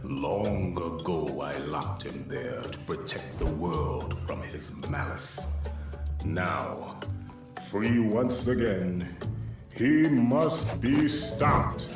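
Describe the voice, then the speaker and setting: A man voicing a wise, benevolent ancient guardian spirit in a video game, solemn, measured and fatherly. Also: sits low, lively intonation, full character voice. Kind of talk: video game narration in character. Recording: echoey, loud music behind.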